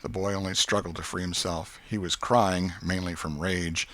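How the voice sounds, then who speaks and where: An American man reading literary narration as an audiobook narrator, in a measured storytelling manner. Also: low voice, fairly even intonation, bright, forceful tone, dry recording.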